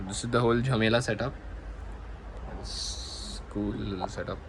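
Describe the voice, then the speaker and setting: Low-pitched Indian man, noisy recording. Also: steady tone, normal speed. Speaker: motovlogger presenting own gear. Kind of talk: casual unscripted product show-and-tell.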